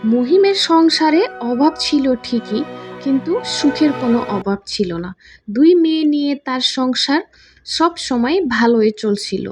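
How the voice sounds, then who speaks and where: A woman narrator tells a cartoon folk tale in a high-pitched voice. Her delivery is scripted storytelling, with faint music behind it. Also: lively intonation, unhurried, breathy edge.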